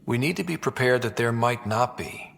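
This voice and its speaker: An actor playing a wry, steady young expedition leader in a video game, with a husky voice. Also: male voice, mid-range voice, moderate pace. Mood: steady, supportive. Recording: game dialogue over faint music.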